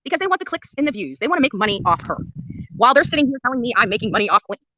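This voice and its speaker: A woman's voice: a little high, very animated, very fast, bright, forceful tone, dry recording, dull audio. A woman livestreaming angry commentary in a blunt, indignant way, cursing and repeating phrases for emphasis. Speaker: livestreamer at a street protest. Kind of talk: angry live commentary and casual chat.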